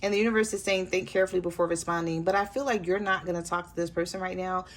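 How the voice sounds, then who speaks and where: Hoarse woman giving a tarot reading. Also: lowish voice, fast. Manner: relaxed, chatty, addresses viewers directly. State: hoarse, losing her voice. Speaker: tarot reader.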